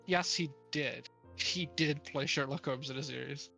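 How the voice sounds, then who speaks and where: Woman co-host, low voice, casual rambling talk, soft music behind. Livestream chat. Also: normal speed, creaky. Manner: rambling, searches for names, laughs often. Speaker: book club co-host.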